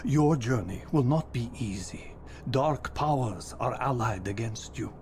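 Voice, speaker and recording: A male actor playing an ancient, benevolent vampire mentor with solemn, measured, formal speech in a fantasy game. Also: mid-range voice, expressive, a little mellow, a little hiss or hum. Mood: solemn, gentle. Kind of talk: dramatic fantasy game cutscene acting. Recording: echoing chamber, some background ambience.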